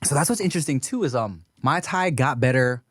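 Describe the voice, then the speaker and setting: A man's voice: mid-range voice, animated, a little fast, fairly bright tone, dry recording, clean studio sound. A man who grew up in the United States, hosting a casual podcast chat in a laid-back, reflective way and swearing casually. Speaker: podcast host.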